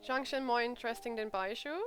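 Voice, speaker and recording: A woman's voice: fairly high pitch, fairly quick, a bit bright, soft background music. A woman streaming a game with casual, informal commentary, joking and blunt.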